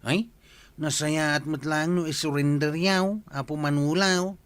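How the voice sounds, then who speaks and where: An actor playing an approving villager chatting with a neighbour, with an Ilocano accent, in a radio drama. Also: male voice, a little high, steady tone, a little slow, a bit bright, smooth. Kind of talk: Filipino radio drama acting.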